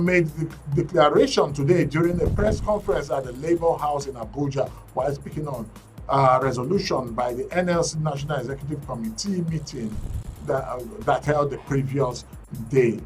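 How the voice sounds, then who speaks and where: A man's voice: medium pitch, recorded from a distance. A man with a Nigerian English accent hosting a current affairs show, reading news snippets with frequent fillers and restarts. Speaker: radio and TV current affairs host.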